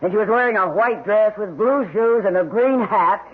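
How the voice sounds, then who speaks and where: An actress playing a mercenary, blackmailing housekeeper with a sardonic, blunt, colloquial manner in an old radio mystery drama. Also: female voice, mid-range voice, normal speed, slightly rough, close to an everyday voice. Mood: mocking. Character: brassy, scheming blackmailing housekeeper, sarcastic and mercenary. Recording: tinny, noisy old radio recording.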